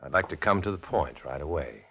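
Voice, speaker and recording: Deep, husky 1950s radio undercover agent. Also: male voice, animated, a little fast, heavily acted. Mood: cool, businesslike. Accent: American. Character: cool, guarded male undercover agent posing as Communist blackmailer. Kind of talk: 1950s American radio drama acting with first-person narration. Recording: dull, lo-fi old radio recording, music behind.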